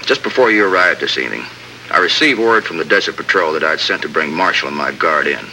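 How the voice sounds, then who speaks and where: An actor playing a steady American lieutenant in a 1950s radio drama, crisp and matter-of-fact. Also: male voice, very deep voice, quick pace, a little hiss or hum, heavily acted, low-quality recording. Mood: earnest, briefing. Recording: old radio recording, faint music.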